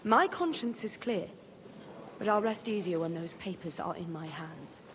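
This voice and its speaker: A woman's voice: lowish voice, normal speed, noisy background, natural voice. An actress playing a shrewd, wry widowed medieval noblewoman in a video game, composed and dry in delivery. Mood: firm, cautious. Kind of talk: video game dialogue acting.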